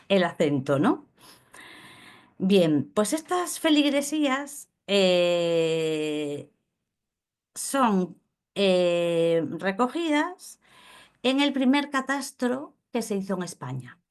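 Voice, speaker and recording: A woman giving an explanatory lecture, unhurried, pausing with fillers and checking in with her listeners. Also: medium pitch. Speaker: speaker on commons law. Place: Galicia, Spain.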